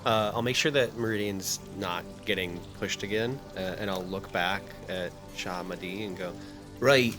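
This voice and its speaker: A man playing a tabletop roleplaying game, speaking casually with hesitant, deliberate phrasing. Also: on the low side. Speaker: player.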